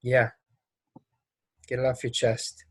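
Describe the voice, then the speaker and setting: A man's voice: mid-range voice, completely flat delivery, slow pace, husky, no echo, clean studio sound. A man playing a brash, resentful young actor in a play reading, speaking in a blunt, casual, clipped way. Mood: subdued, reflective. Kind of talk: play readthrough acting.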